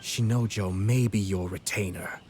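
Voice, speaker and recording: Man playing a low-voiced, composed noble commissioner. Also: steady tone, darkish tone, slight background noise, lightly acted. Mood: coolly challenging. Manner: formal, measured, unruffled. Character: composed, courteous young noble commissioner, quietly cunning. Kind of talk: video game voice acting.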